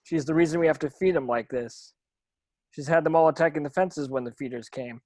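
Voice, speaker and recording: Man playing a grim, higher-voiced game warden. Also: a little fast, a little mellow, no echo, natural voice. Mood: grim, warning. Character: grim, intense British game warden in his forties. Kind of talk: table read of a film script.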